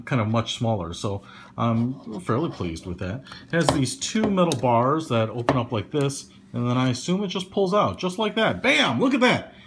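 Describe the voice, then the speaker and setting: A man's voice: medium pitch, background noise. Rambling casual man reviewing gear. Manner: rambling, lots of fillers, casual slang. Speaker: product reviewer. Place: small indoor room.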